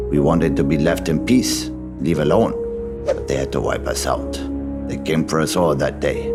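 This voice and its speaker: A man's voice: very deep voice, voice pushed up. A man voicing a bitter, vengeful ghost of a ninja, the last of his clan, in dramatic narration.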